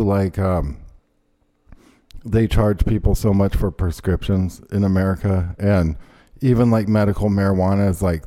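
Guy hosting a podcast, lowish mellow voice, super casual, swears a bit, online call. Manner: informal, profane. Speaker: host of a talk podcast. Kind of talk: casual chat. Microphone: remote internet call.

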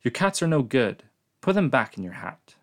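A child from a storybook telling off a cat, read by a man, the letters coming out in a scolding sing-song. The voice stays at a plain middle pitch. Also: very animated, moderate pace, close to an everyday voice.

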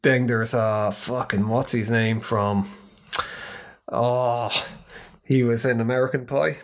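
A man's voice: medium pitch, steady tone, slow. A man co-hosting a podcast, rambling in casual chat, swearing freely and stumbling through restarts and fillers. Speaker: podcast co-host.

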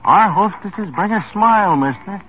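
A man's voice: sits high, lively intonation, moderate pace. An actor playing a sly, mocking male bartender in a 1950s radio drama, with a sardonic, needling manner. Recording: muffled old radio recording with music.

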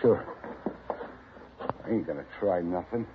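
A scared, pleading drunk cowboy. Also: male voice, deep voice, unhurried, noisy background. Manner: pleading, whiny backwoods speech. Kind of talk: 1950s radio drama acting. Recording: tinny old radio broadcast.

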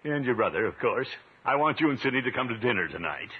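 Pompous, bashful middle-aged uncle played by a man, very animated swooping delivery, vintage radio comedy, thin phone-like sound with hiss. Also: mid-range voice, fast talker, lightly acted. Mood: bashful, courting. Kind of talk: 1950s radio comedy acting. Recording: thin, noisy old radio recording.

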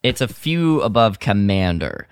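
A man's voice: fairly low pitch, sing-song, measured pace, smooth, dry recording, studio quality. A man co-hosting a podcast, talking casually with the others. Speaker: podcast co-host.

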